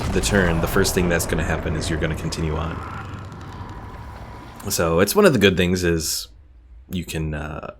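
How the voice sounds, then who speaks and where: A man's voice: low voice, slight background noise. A man giving casual live commentary as a game streamer, chatting and thinking aloud as he explains mechanics.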